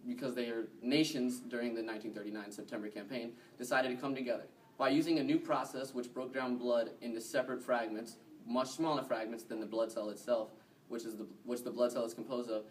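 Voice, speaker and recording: A male teenager presenting a scripted school newscast report as a student, stumbling over words and correcting himself. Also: mid-range voice. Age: teens. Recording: noisy room, far from mic.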